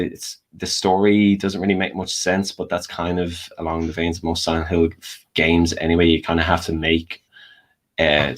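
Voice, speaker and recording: A man around thirty giving rambling, hedged opinions as a guest in a casual panel chat. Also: deep voice.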